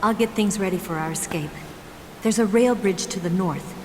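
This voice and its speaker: A woman's voice: medium pitch, moderate pace, in a large hall, a little hiss or hum, natural voice. A woman playing a seductive, confident spy in a video game, with a sultry, playful and self-assured manner. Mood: businesslike. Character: seductive, confident female spy and motorcycle rider. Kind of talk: dramatic video game voice acting.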